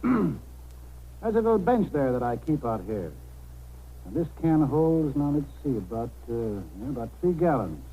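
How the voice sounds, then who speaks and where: Lively, kindly country householder. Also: male voice, medium pitch, measured pace, pauses often, lo-fi sound. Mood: generous. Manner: genial, helpful, well-spoken. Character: kindly, generous, unhurried country householder, a man. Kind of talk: 1930s radio acting. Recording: noisy 1930s radio broadcast.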